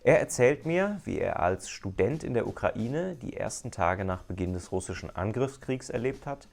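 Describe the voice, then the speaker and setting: A man's voice: lowish voice, animated, normal speed, vocal fry, darkish tone. A German man with a German accent, hosting and interviewing on a podcast.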